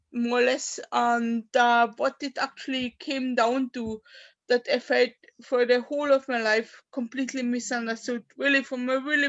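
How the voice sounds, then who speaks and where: A woman in her fifties, a native German speaker from Austria, talks haltingly about her life as a poet, with many fillers and restarts. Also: on the high side, fairly bright tone. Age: 50s. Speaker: poet at an open mic. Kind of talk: personal talk and poetry reading. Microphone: video call. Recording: dull lo-fi video call audio.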